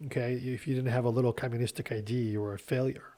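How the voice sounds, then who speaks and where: A man, a guest speaking in a measured, explanatory way. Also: mid-range voice, little pitch movement, warm tone. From Ukraine. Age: 40s. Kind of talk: casual podcast interview.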